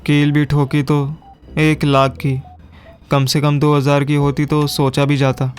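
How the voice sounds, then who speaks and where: A man playing a wry, deadpan, grumbling friend in an audio comedy drama. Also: a little high, unhurried, faint music behind, close to an everyday voice. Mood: dry, mocking.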